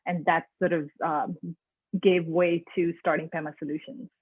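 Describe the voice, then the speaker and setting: A woman from Nepal, a mindfulness trainer, speaking as a guest in a calm, measured, thoughtful way over a video call. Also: low voice, slightly rough, no echo. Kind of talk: conversational webinar interview. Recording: tinny dry phone-like line.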